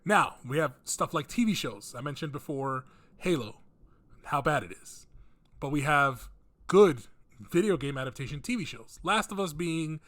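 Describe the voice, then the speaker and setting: A man's voice: medium pitch, a bit bright. A man hosting a casual opinion video, rambling conversationally and thinking aloud with emphatic points. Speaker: video host giving opinions. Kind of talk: casual rant commentary on video game films.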